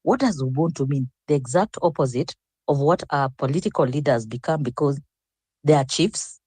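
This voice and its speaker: A woman's voice: deep voice, moderate pace, darkish tone, breathy edge, no echo. An older woman speaking as a panelist in an online discussion, reflective and rambling with frequent restarts.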